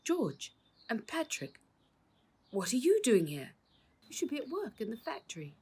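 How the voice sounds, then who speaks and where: A defiant, indignant uncle in a children's story acted by a woman reading aloud. He growls his reproaches with a creaky edge, standing up to bullies. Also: on the high side, sing-song, measured pace, darkish tone, pitched above the performer's own voice, full character voice. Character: bald, indignant, defiant uncle and factory boss.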